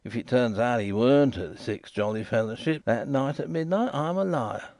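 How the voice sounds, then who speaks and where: A man puts on a shifty waterside informer who whines and insists, his voice jumping high with indignation. The sound is dry and close. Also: lively intonation, moderate pace, a little mellow, no room sound, natural voice. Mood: insistent. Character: shifty, whining riverside informer, middle-aged man.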